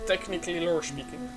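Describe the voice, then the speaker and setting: A man doing casual let's play commentary, dropping brief offhand remarks as he plays. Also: high voice. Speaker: gaming streamer. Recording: game music behind the voice.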